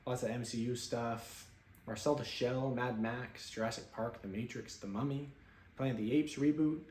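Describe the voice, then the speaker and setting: A man casually talking through his film-watching stats with an offhand, relaxed manner and plenty of fillers, recording at home in his apartment. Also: medium pitch, moderate pace, echoey room, a little hiss or hum. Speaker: film vlogger. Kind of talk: casual screen-share commentary.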